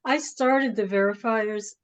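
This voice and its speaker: A woman's voice: mid-range voice, measured pace. A woman moderating a literary conversation on a video call, chatty and laughing between remarks. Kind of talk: casual video-call chat. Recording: muffled video call audio.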